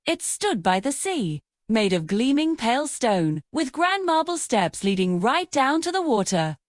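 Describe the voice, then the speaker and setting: A woman with a fairly high voice narrates a fairy tale. Her delivery is measured and dramatic, with lively rises and falls. The recording is dry, with very little room sound. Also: no room sound. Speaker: storyteller.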